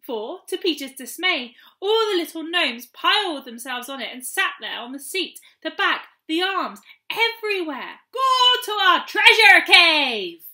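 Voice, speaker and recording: A young woman reading a children's story aloud in an expressive storytelling style. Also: high voice, normal speed, few pauses. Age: young adult. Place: small room at home, indoors.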